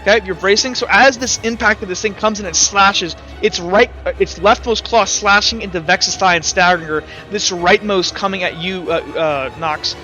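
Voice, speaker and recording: High, bright-voiced male game master. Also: faint music behind. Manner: halting, repeats and restarts phrases. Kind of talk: improvised tabletop game narration and rules talk. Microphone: voice call over Discord. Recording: dull, lo-fi online voice chat.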